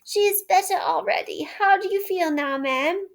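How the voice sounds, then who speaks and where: A woman voicing a polite, kindly gentleman motorist in a storybook. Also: extremely high pitch, normal speed, gravelly, pitched above the performer's own voice. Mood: concerned. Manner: gentle, courteous.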